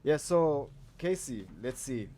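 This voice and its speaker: A man's voice: mid-range voice, expressive, slow, mellow, a little hiss or hum. A man hosting a podcast, chatting casually with a guest, loose and rambling with lots of fillers and restarts. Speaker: podcast host. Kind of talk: casual interview chat.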